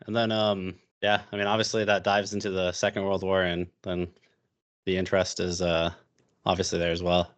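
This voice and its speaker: A man's voice: low-pitched, little pitch movement, moderate pace. A young American man talking casually as a podcast guest, rambling with lots of fillers and restarts. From United States. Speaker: podcast guest, history YouTuber.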